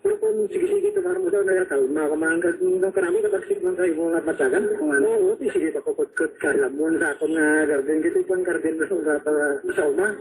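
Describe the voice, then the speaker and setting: A man with a Filipino accent giving an unscripted, rambling testimonial in a halting, repetitive way. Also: on the high side, sing-song, rough recording.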